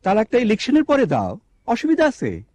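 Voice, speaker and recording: Man as a husky, swooping, pompous village politician. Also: high-pitched, huge pitch swings, moderate pace, a little mellow, no room sound, heavily acted. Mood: wheedling. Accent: Bangladeshi Bengali. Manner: pompous, insistent. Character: self-important village election candidate, bossy and wheedling. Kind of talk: comic TV drama dialogue. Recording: dull lo-fi soundtrack.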